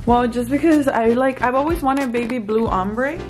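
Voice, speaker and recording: A woman's voice: a little high, normal speed, a little mellow, soft background music. A woman in her twenties chatting and laughing in casual, profane banter while filming herself close up in a hotel room. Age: 20s. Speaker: vlogger. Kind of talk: casual chat. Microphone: handheld camera close up.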